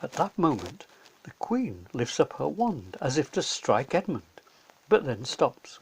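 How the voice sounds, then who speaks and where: A man's voice: medium pitch, sing-song, measured pace, creaky, slightly rough, rough recording. A man narrating a scripted children's story as a storyteller.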